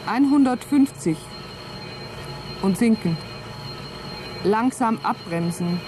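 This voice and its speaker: Cool, clipped astronaut co-pilot. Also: female voice, on the high side, lively intonation, slow pace, background noise. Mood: focused. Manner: clipped, composed, dryly ironic. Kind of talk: German radio play acting.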